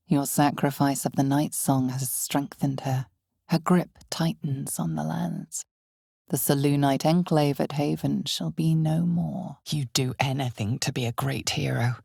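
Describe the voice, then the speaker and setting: Ominous female narrator for a fantasy rpg, real deep and slow, hushed and dramatic, all-knowing. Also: gravelly, husky, no room sound, studio quality, full character voice. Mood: ominous, solemn. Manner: measured, dramatic, hushed intensity. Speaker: video game narrator. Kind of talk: scripted second-person fantasy game narration.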